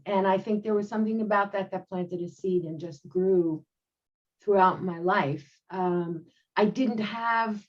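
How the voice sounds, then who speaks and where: A woman in her sixties, a rabbi, telling her own story reflectively on a video call panel, with many pauses and ums. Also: medium pitch, lo-fi sound. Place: home room.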